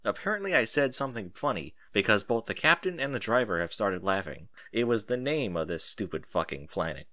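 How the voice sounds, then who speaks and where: A man narrating a first-person story in an animated audiobook style. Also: lowish voice, fairly quick, hardly any pauses, no room sound, clean studio sound.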